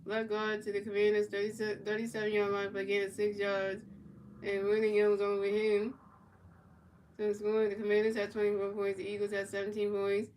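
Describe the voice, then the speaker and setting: A woman's voice: medium pitch, far from the mic, slight background noise, rough recording. A woman streamer doing play-by-play commentary of a football video game and answering her chat in casual slang. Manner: announces plays in a measured, even delivery.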